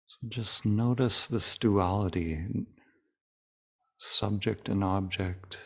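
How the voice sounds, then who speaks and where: Man, guided meditation, even tone, unhurried slow delivery, calm, short phrases with long pauses. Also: on the low side, vocal fry. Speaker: meditation teacher leading a guided meditation.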